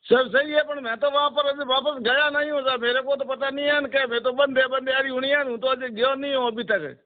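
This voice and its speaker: A man's voice: very high voice, fairly even intonation, a little fast. A village man with a rural Marwari accent, speaking informally and deferentially, a little defensive, on a phone call. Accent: rural Rajasthani, Marwari. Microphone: phone line. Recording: tinny narrowband phone-call recording.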